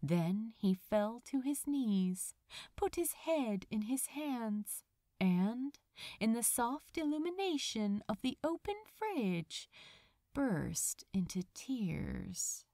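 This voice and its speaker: Slow, expressive woman narrator. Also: fairly high pitch, dry recording, studio quality. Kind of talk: expressive scripted fan fiction narration.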